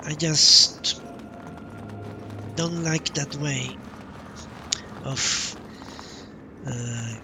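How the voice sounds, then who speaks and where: A man giving casual live commentary as he plays a game, musing aloud in an unhurried way. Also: a little high. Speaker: game streamer. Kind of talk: casual live playthrough commentary, reads dialogue choices. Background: game music.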